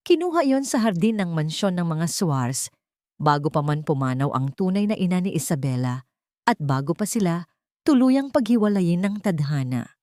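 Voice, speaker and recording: A Filipino woman storyteller with a fairly low voice. She delivers scripted, dramatic narration with a Filipino accent. The sound is dry, with no room around it. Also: sing-song, moderate pace, warm tone, no room sound.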